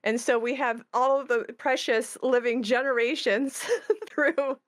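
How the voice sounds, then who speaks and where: A woman's voice: on the high side, fairly even intonation, unhurried. A woman talking casually as a game creator, with a wry, good-humoured manner. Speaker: game creator discussing her project. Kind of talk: casual discussion.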